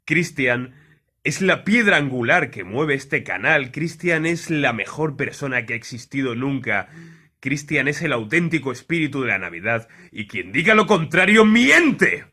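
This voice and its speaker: A man giving a mock-solemn scripted holiday greeting in a deliberate, pompous manner. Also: mid-range voice, sing-song, pushed and bright.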